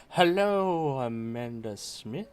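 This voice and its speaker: Very low-voiced, slow-talking woman streaming. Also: lively intonation, smooth. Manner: informal short reactions. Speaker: game streamer. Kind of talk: casual live game reaction commentary.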